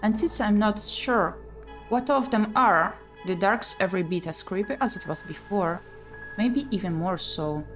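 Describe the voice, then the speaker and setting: Female let's play host, mid-pitched, reading story text and chatting, music underneath. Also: normal speed. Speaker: video game streamer. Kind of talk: let's play: reading visual novel aloud with chatty commentary.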